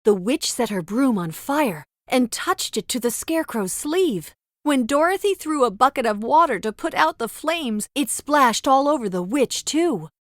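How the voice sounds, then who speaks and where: A woman's voice: high voice, expressive, measured pace, no echo, studio quality. A woman narrating a children's storybook as a scripted read-aloud.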